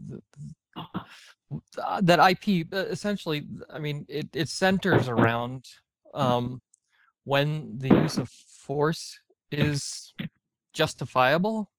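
A man taking part in a discussion as a questioner, speaking in a hesitant, halting and careful way. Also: medium pitch, gravelly, fairly bright tone, dry recording. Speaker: book club participant asking questions. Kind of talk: conversational question to a guest.

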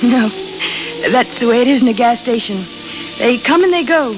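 An actress playing a kind, frank young roadside waitress in a 1930s radio drama, with a folksy way of talking. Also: female voice, on the high side, expressive, normal speed, over music, natural voice. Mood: frank, kindly. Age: young adult. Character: plainspoken, kind young desert gas-station waitress. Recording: muffled, crackling old radio broadcast.

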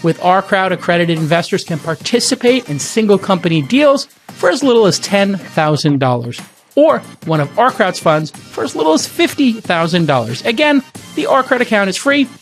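Over faint music, a high-voiced man delivers a scripted sponsor read. His intonation swings widely, and the delivery is promotional and emphatic, in the manner of a podcast host. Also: sing-song, normal speed.

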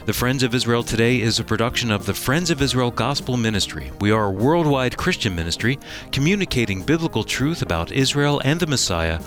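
A man's voice: medium pitch, lively intonation, brisk, over music. A man giving the scripted closing announcements and credits of a radio program in a formal tone. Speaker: executive producer and announcer.

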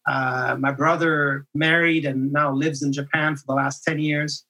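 A man's voice: a little high, flat delivery, a little slow, sharp tone, rough recording. A man whose first language is French, a podcast guest talking reflectively, often restarting and repeating words. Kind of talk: conversational interview.

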